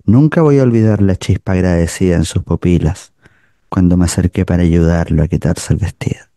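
A man's voice: deep voice, fairly quick, gravelly, warm tone, dry recording, clean studio sound. A man playing a sombre, tender figure who remembers a schoolmate, in grave, reflective radio drama narration.

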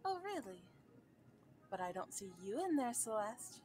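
A woman voicing a gentle, breezy female rabbit guildmaster in a comic dub. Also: a little high, big pitch swings, measured pace, faint music behind.

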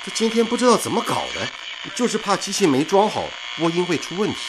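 A man playing an animated, bantering wartime radio engineer in a radio drama. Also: sits high, quick pace, background noise. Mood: nervous. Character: teasing, lively male wartime radio engineer.

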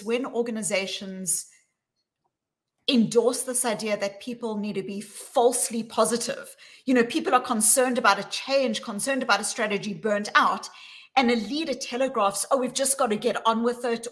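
A woman in her forties with a South African accent speaks as a guest over a video call from a small room. Her delivery is measured and emphatic, and she slows down to lean on key words. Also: on the high side, fairly bright tone. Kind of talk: talk on workplace wellbeing. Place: small room with plain wall.

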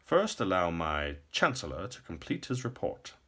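A man voicing a shabby old king with a raspy croak and a grand, commanding manner. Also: low voice, sing-song, normal speed, sharp tone, exaggerated voice. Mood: demanding. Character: old blind king, shabby, imperious and self-deluded.

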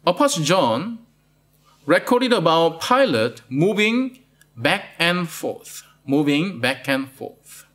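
A man's voice: on the high side, big pitch swings, slow, a bit bright. A middle-aged man with a Malaysian accent preaching a sermon in a studio, asking "right?" after nearly every point and repeating his words. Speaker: pastor. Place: studio with plain blue backdrop.

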